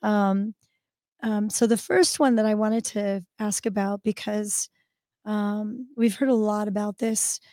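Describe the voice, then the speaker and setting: A woman co-hosting a podcast with a hoarse, recovering voice, asking halting questions with many ums. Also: medium pitch, fairly even intonation, warm tone, dry recording, clean studio sound. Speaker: co-host. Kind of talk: casual podcast chat.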